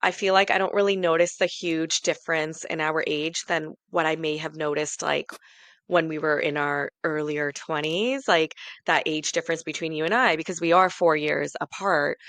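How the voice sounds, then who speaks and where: A woman in her late thirties, a podcast co-host, talks in a loose, rambling way and often laughs at herself. Her voice sits a little low and has a gravelly edge. The sound is close and dry, with no room in it. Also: steady tone, normal speed, fairly bright tone, dry recording. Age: 30s. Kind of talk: casual chat.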